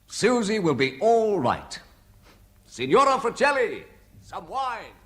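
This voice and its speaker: Fond wry husband in an old radio play, voice swings all over. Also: male voice, high voice, sing-song, slow pace, a bit bright, exaggerated voice. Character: Bob, a wry, affectionate husband. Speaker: radio drama lead. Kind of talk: 1970s BBC radio play acting.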